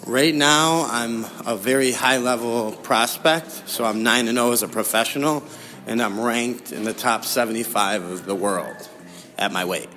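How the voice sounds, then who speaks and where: A man's voice: medium pitch, measured pace, bright, forceful tone. A young adult man answering interview questions in a casual, conversational way as a guest. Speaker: interview guest, professional fighter.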